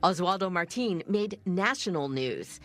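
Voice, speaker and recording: Woman reporter doing news narration, measured, voice moves up and down a lot. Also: on the low side, expressive, fairly bright tone.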